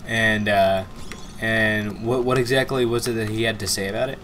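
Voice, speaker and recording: A man's voice: on the low side, fairly even intonation, moderate pace, a bit bright, slight background noise. Chatty young man co-hosting a game stream. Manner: talkative, repeats words, filler-heavy. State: slightly nasal from a cold. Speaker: let's play co-host. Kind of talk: casual gameplay chat.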